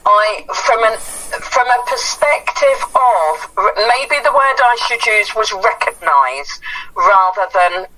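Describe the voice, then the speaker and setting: A woman's voice: medium pitch, lively intonation, slow pace, sharp tone, dry recording. A woman taking a phone call as an office staff member, professional, placating and acknowledging.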